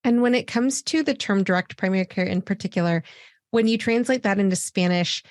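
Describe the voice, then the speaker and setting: A woman's voice: medium pitch, fairly quick, darkish tone, no room sound. Podcast host woman, dry close sound. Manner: affirming, long multi-part questions. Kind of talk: interview questions.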